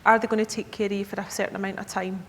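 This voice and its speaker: A woman in her thirties with a Scottish accent gives a talk at a conference, chatty and relaxed, regularly checking in with the room. Her voice sits at a middle pitch and carries a long reverb, with a faint low rumble underneath. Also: very fast. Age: 30s. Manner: chatty, checks in with the audience with "okay?". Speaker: key account manager presenting to advisers. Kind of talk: conference presentation. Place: conference hall. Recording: echoey hall with faint rumble.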